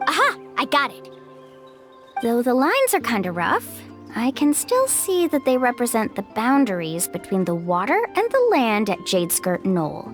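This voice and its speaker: A woman's voice: a little high, very animated, normal speed. An actress playing a friendly, encouraging young woman guide who leads the group in a video game. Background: game music.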